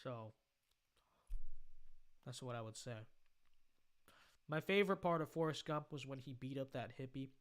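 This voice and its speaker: Young American man with bright, sharp voice. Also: mid-range voice, animated, clear, resonant tone. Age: young adult. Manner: halting delivery with repeated phrases. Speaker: livestream host. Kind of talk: casual livestream chat answering viewer comments.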